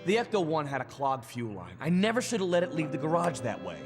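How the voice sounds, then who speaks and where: A man's voice: fairly high pitch, very animated, fairly quick, over music. A man voicing a conscientious, worrying young team mechanic in a cartoon, earnest and cautious in manner. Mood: self-blaming.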